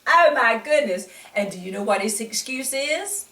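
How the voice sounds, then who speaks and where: A woman reading a picture book aloud to children in an animated way, often putting questions to the listener. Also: on the high side, normal speed. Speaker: children's story reader. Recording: echoey room, distant mic.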